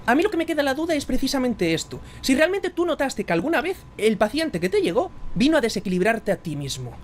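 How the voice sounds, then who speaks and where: A man with a Galician Spanish accent hosts a casual live-streamed interview. He speaks quickly and his pitch rises and falls a lot. He thanks his guest warmly and earnestly, like a brother, and a faint background hiss runs under his voice. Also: very animated. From Spain, Galicia. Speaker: podcast host.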